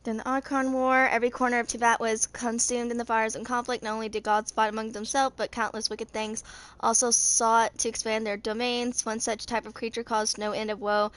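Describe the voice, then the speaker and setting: Woman, 20s, amateur narrator. Higher-pitched, monotone delivery, halting read of fantasy game lore. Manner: halting, stumbling reading. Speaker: fan video creator. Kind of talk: reading game lore aloud.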